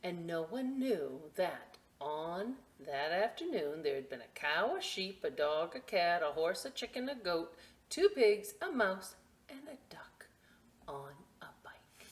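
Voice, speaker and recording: A woman reading stories aloud to young children in a playful, expressive way, with chatty asides and questions she often answers herself. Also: fairly low pitch, unhurried. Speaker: children's story time reader at a library.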